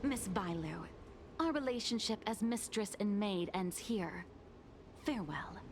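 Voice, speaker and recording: A woman's voice: mid-range voice, lively intonation, unhurried, close to an everyday voice. A woman voicing a treacherous, coldly scheming maid in a video game, outwardly deferential, with composed, formal speech. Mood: cold, scornful. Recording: noisy game capture with ambience.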